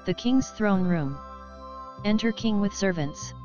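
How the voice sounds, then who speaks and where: A woman's voice: mid-range voice, animated, measured pace, mellow. A woman narrator reading stage directions for an audio play. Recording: dull lo-fi sound, music behind.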